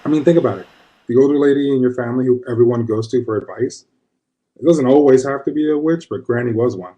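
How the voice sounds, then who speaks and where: A man's voice: medium pitch, fast, mellow, lo-fi sound, close to an everyday voice. A man playing an ordinary, square fellow telling a first-person tale in a conversational, confiding way. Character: self-described square man recalling his witch grandmother. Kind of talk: first-person storytelling monologue.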